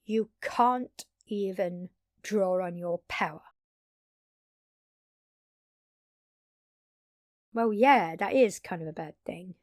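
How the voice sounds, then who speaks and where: Woman acting a slow-spoken, brash vampire woman. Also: medium pitch, dry recording, studio quality, lightly acted. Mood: reassuring. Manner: casual, expressive, sweary, dramatic pauses. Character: brash, excitable undead vampire woman, a magic-savvy fighter. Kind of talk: intimate audio drama roleplay addressed to listener.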